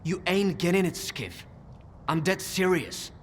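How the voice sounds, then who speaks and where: A man's voice: sits high, expressive, a little slow, slight background noise. Wary, emphatic veteran guide man. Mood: warning. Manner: emphatic, cautioning. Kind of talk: video game cutscene acting.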